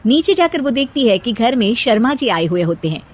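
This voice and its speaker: A woman's voice: fairly high pitch, sing-song, moderate pace. A woman narrating a scripted moral bedtime story as the storyteller.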